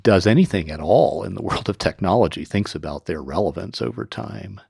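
A man hosting a conversational interview, musing in a measured way and choosing his words carefully. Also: sits low, animated, gravelly, darkish tone, breathy edge, dry recording, studio quality. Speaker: podcast host.

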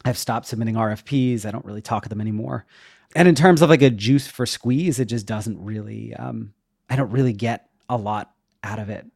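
A man's voice: on the low side, fairly quick, vocal fry, darkish tone, no echo, clean studio sound. A middle-aged man talking casually and reflectively as a podcast guest, explaining things in an informal way. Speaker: interview guest, web developer and educator.

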